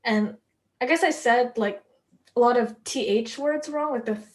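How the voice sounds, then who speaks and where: A woman's voice: a little high, normal speed. Young woman host with a stutter. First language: Korean. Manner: stutters, speaks with many fillers and laughs. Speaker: podcast host. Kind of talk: casual conversation.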